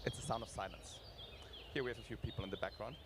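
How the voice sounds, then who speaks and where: A man giving a conference pitch as a startup co-founder, addressing the audience directly and engagingly. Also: fast, heavy background noise.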